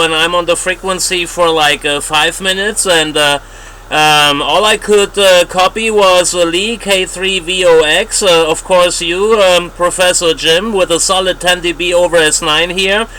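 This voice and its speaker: A man giving casual signal reports as an amateur radio operator, halting and repeating himself, heard over shortwave radio. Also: high voice, fairly even intonation, bright, forceful tone, low-quality recording. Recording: shortwave radio with light static.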